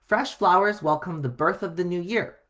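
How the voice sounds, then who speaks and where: A man voicing a patient, reassuring mother in a children's storybook, calm and instructive. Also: high-pitched, normal speed.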